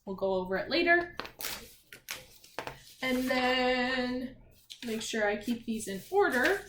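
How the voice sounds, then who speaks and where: A woman with a fairly high voice speaks as a primary school teacher to young children. Her delivery is animated and encouraging, with a sing-song lilt. The room is clearly echoey, with faint noise behind her. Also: takes it slowly. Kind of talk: read-aloud and lesson for young children.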